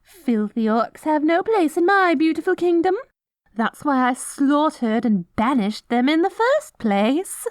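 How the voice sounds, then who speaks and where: Snooty pompous good queen, really high voice, swoopy n dramatic, dry recording. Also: expressive, measured pace, dry recording. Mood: smug. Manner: pompous, prim, melodramatic. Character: vain, haughty, self-righteous Good Queen with a cruel streak. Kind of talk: scripted ASMR audio roleplay.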